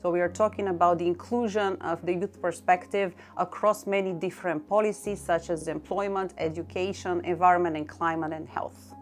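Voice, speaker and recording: A middle-aged woman from Bulgaria with a Bulgarian accent, explaining her work in a measured way and listing items one by one. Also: on the low side, steady tone. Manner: measured, lists items one by one with short pauses. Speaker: EU youth coordinator. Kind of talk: explanatory talk to camera. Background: faint background music.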